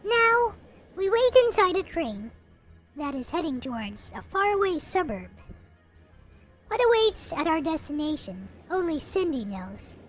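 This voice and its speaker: A woman's voice: extremely high pitch, sing-song, a little slow. A woman reading a story aloud expressively, with playful, theatrical casual asides. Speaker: host reading a visual novel aloud. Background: background music.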